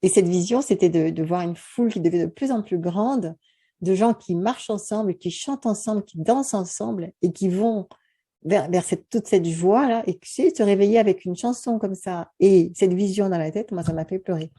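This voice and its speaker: Earnest French woman with a very mellow voice. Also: mid-range voice, normal speed, slightly rough. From France. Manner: earnest, talkative, many fillers. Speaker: host. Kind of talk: casual conversation.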